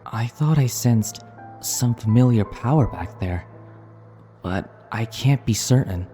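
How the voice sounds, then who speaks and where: A calm young adventurer speaks frankly at a middling pitch. It is video game dialogue with music faintly underneath. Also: slow. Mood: calm, concerned.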